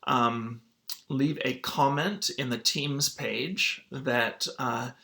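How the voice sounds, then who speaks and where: A man's voice: medium pitch, fairly even intonation, very slow, creaky, pushed and bright. A man speaking as a teacher to his students, in an informal read-aloud lesson style.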